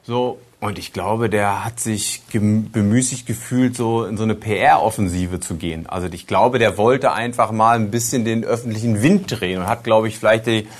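A man's voice: lowish voice, fairly even intonation, normal speed, hardly any pauses. A man, a journalist, telling stories in a podcast talk, with many hesitations. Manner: storytelling, hesitant with fillers. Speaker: investigative journalist, guest. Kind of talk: podcast conversation.

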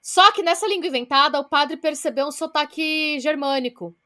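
A woman's voice: sits high, moderate pace, sharp tone. Blunt Brazilian woman retelling a story. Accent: Brazilian Portuguese. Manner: blunt, swears, retells research with asides. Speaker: podcast co-host. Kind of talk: casual storytelling chat.

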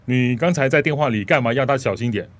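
A man's voice: medium pitch, fast talker, close to an everyday voice. A man playing an imperious, grumpy older rich master in a radio drama. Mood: imperious, irritated.

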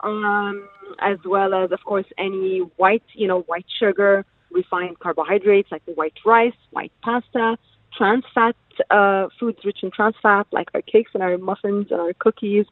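A woman's voice: mid-range voice, fairly even intonation, a little slow, dry recording. A woman dietitian from the UAE, a guest on a radio show, explaining fluently with many filler sounds. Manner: fluent and informative, many ums. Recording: tinny phone line.

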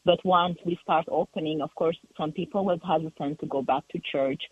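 A man's voice: on the high side, fairly quick, breathy edge, no room sound. A man from Ethiopia with an Ethiopian accent, calling in to a radio talk show and speaking hesitantly with many fillers. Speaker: caller. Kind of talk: casual call-in chat. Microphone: telephone. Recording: tinny phone line.

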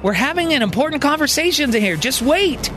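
A man as a firm woman in charge, lifted pitch. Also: big pitch swings, a little fast. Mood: dismissive. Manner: firm, matter-of-fact, authoritative. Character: calm, firm female team leader. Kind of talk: dramatised audio fiction storytelling.

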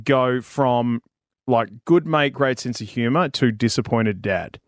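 A man co-hosting a radio show, playful and quick to laugh. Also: mid-range voice, no echo, studio quality. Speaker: radio co-host. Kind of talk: casual radio banter.

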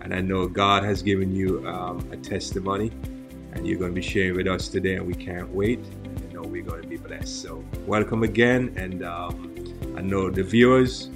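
A man's voice: sits low, steady tone, moderate pace, music in the background. A man co-hosting a faith interview show, welcoming a guest and leading a prayer, with many hesitations and repeated welcomes.

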